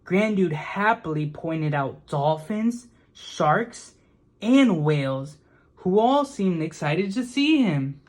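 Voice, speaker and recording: A woman reading a children's picture book aloud in a deliberate, expressive storytelling manner. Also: lowish voice. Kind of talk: storybook read-aloud narration.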